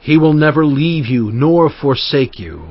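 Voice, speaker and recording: An aged prophet urges his people with earnest, emphatic conviction. His voice is husky and sits fairly high, over faint music on a dull recording. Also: unhurried. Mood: exhorting. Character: Moses, aged male prophet and leader. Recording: muffled lo-fi audio with faint music.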